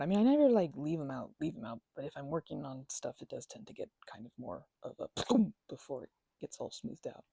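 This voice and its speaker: A woman with a husky, gravelly voice rambles to the listener as her roommate in an ASMR roleplay, stammering and restarting, sometimes sinking into a whisper. Her tone is mellow and dark, and she sits close to the microphone in a dry, dead room. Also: very deep voice, dry recording. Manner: rambling and hesitant, with many fillers and stammered restarts; drops into soft whispers at times.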